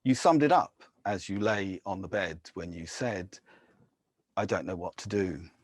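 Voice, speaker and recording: An English man giving a measured poetry reading, with soft, hesitant asides between poems. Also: low voice, husky, no echo. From England. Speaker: poet.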